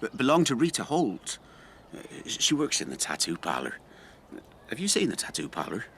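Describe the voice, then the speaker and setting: An actor playing an awkward, guarded older man with a halting, stammering delivery in a naturalistic radio drama. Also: medium pitch, wildly swooping pitch, gravelly, a bit bright, slight background noise, full character voice. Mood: awkward, friendly.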